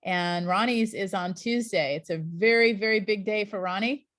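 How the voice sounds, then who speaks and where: A woman's voice: mid-range voice, moderate pace, a bit bright, no room sound, studio quality. A middle-aged woman and scuba instructor trainer, giving an encouraging, chatty introduction to an online class over a video call.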